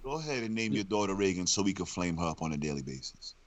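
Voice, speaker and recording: A man's voice: sits low, lively intonation, fast. A man co-hosting a podcast, challenging the others to explain themselves in casual banter. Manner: demanding, challenging. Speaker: podcast co-host.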